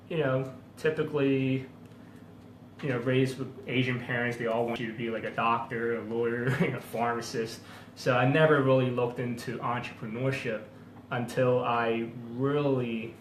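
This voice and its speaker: A man's voice: lowish voice, echoey room, slight background noise, poor audio. A man in his thirties, from Hong Kong and raised in Philadelphia, telling his story frankly and easily as an interview guest. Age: 30s. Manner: easygoing, frank storytelling with "you know". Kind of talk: casual interview.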